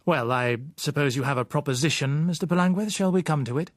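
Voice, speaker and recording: A man's voice: fairly high pitch, fast, no room sound, studio quality, close to an everyday voice. A man voicing a cautious, urbane managing director in an audiobook, formal, reflective and guarded. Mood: shrewd, reflective. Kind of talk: audiobook character voice.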